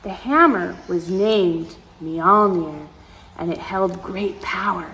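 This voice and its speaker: A woman's voice: lowish voice, slow, lo-fi sound, noisy background. A woman reading a picture book aloud to children, with playful asides and dramatic emphasis, speaking straight to her young listeners.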